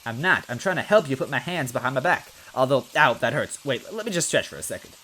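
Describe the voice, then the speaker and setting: A man's voice: mid-range voice, animated, fast, bright, forceful tone, low-quality recording. A man playing a clumsy, timid, self-deprecating hero in a comic story. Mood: flustered. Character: hapless, timid, clumsy young hero narrator.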